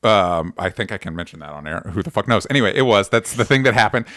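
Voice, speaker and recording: A man's voice: lowish voice, expressive, quick pace, a bit bright, no room sound, studio quality. A man chatting casually as a podcast host, in a rambling, jokey and self-deprecating way. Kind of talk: casual chat.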